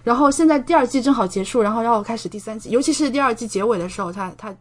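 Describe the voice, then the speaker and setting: A young woman from China chatting casually as a podcast guest, expressive and enthusiastic in the way she talks. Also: fairly high pitch, rapid-fire. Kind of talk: casual chat.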